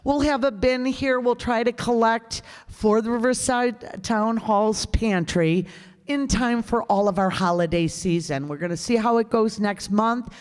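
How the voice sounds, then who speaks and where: A woman hosting a community show, speaking off the cuff as she gives closing announcements to an audience. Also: fairly high pitch. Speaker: host of community radio-play group. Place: town hall stage before an audience. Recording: echoey hall, long reverb.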